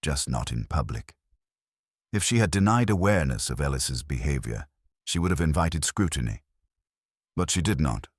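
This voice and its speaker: A stern, ponderous detective of an audiobook mystery, played by a male narrator. The voice is extremely low and rough-edged, and he pronounces each terse judgment formally, without sentiment. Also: expressive, normal speed, long pauses, no room sound, clean studio sound, full character voice. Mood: grave, dismissive.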